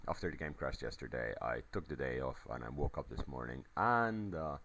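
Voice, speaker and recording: A man's voice: a bass voice, animated, a little fast. A man giving casual play-by-play commentary on a strategy game replay, his voice a little crackly, rambling with many fillers.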